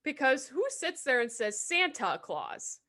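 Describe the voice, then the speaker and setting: A woman's voice: sits high, sing-song, measured pace, bright, forceful tone. A young woman co-hosting a casual podcast, laid-back and teasing, swearing casually. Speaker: podcast co-host. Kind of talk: casual chat.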